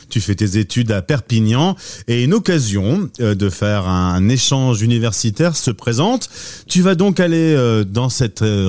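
A man's voice: mid-range voice, a little mellow. A man hosting a radio interview, asking conversational, leading questions with some fillers.

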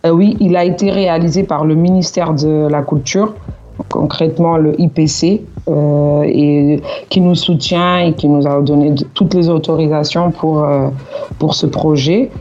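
A woman's voice: low-pitched, fairly even intonation, unhurried, dark tone, muffled recording, faint music behind. A woman from Portugal, speaking as a guest on a radio interview, earnest and full of hesitations. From Portugal, Cape Verdean parents. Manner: hesitant, many fillers, earnest. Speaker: association president.

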